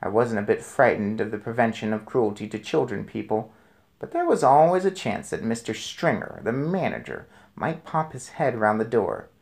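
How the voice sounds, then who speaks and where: Creaky-voiced man reading a storybook. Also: lowish voice, big pitch swings, normal speed, a little mellow. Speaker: storybook narrator. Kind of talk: expressive read-aloud narration.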